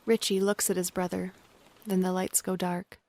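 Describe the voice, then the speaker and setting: A woman's voice: lowish voice, flat delivery, normal speed, a little mellow, no room sound, natural voice. A woman narrating an audio drama, reading out scripted stage directions.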